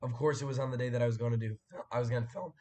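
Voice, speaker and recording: A young man hosting a casual live stream, his voice hoarse and cracking after a sore throat, rambling and clearing his throat as he chats with viewers. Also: medium pitch, fairly even intonation, rapid-fire, mellow. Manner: rambling, chatty, frequent fillers and throat clearing.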